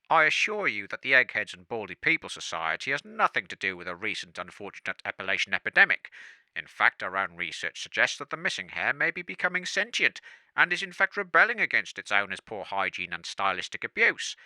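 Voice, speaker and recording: An actor playing a pompous, smoothly evasive spokesman in a comic radio sketch, giving a formal, officious statement. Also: on the low side, bright, forceful tone, dry recording, exaggerated voice. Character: pompous male spokesman of a bald people's society, smoothly evasive.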